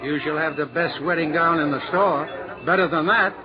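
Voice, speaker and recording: Genial store boss man, played by an actor, highish voice, old muffled radio play. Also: normal speed, crowd noise, close to an everyday voice. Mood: genial, expansive. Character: generous male department store boss, softened and genial. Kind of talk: 1940s radio drama acting. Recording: dull 1940s radio recording.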